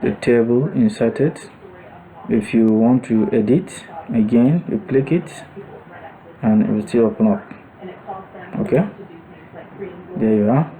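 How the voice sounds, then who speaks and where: Slow, mellow male tutorial instructor. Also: medium pitch, rough recording. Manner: halting, thinking aloud as he goes. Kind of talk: unscripted step-by-step screen demonstration.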